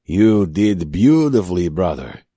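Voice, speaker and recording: A man's voice: sits low, sing-song, unhurried, mellow, no echo, studio quality, full character voice. A man voicing a confident, falsely brotherly male scrapper ringleader in a science fiction audiobook, with a cajoling, familiar manner.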